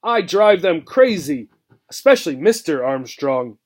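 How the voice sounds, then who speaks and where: A man voicing a gruff, bitter old mouse in a storybook, scornful and bent on revenge. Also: a little high, big pitch swings, unhurried. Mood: vengeful. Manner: scornful, emphatic.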